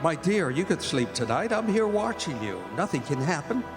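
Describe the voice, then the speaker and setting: A man's voice: fairly high pitch, sing-song, normal speed, over music. A man playing an earnest, devoted doctor who narrates a radio drama. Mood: tender, reassuring. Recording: echoey hall, live stage performance.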